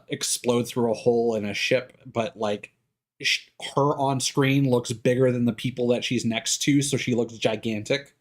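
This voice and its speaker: A man live streaming with casual, opinionated commentary, rambling along in a halting, stop-and-start way. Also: medium pitch.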